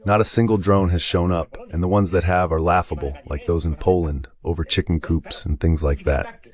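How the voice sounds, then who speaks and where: Low-pitched man in translated political commentary. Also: steady tone, normal speed, darkish tone.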